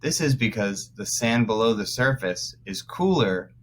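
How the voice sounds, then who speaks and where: A man teaching young children through a read-aloud, pausing to explain words as he goes. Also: lowish voice, animated, a little hiss or hum. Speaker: first-grade teacher.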